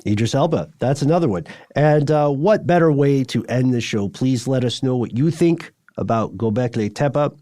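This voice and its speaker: A man's voice: mid-range voice, animated, moderate pace, hardly any pauses, mellow, no echo. Articulate male podcast host, dry close sound. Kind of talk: conversational podcast talk.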